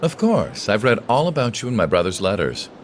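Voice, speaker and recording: An actor playing a suave, teasing aristocratic gentleman and elder brother in a game cutscene, speaking with courtly, eloquent polish. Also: lowish voice, sing-song, a little fast, slight background noise. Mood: warm, teasing. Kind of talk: video game cutscene acting.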